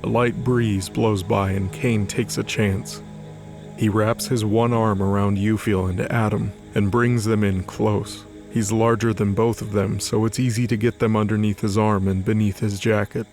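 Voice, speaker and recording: Low-voiced man narrating audio drama. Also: level tone, moderate pace. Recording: faint music under the voice. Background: music.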